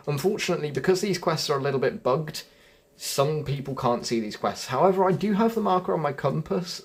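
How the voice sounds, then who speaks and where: Give me a chatty young man narrating a game walkthrough with a husky, mid-pitched voice. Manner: chatty, jokey, addresses viewers as guys. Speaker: gaming video host.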